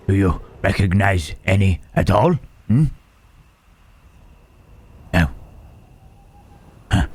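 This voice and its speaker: Man acting wary gentle creature, very slow, low-pitched, hushed, halting, old-fashioned wording, intimate ASMR roleplay. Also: slightly rough, heavily acted. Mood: wary, confiding. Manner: halting, formal archaic phrasing, questioning "huh".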